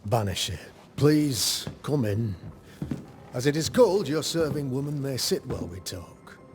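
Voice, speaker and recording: An actor playing a courteous, self-satisfied colonial governor in a period game drama, speaking in formal, wordy phrases. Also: male voice, medium pitch, animated, unhurried, noisy background, lightly acted. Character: pompous, courteous colonial governor of middle age. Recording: music under the voice.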